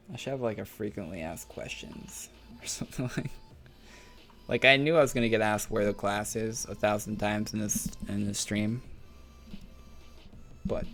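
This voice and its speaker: Young male streamer, low gravelly voice, faint music underneath, relaxed rambling chat. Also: normal speed, pauses often. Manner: laid-back, rambling, laughs often. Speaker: game streamer. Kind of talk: casual stream chat reading viewer questions.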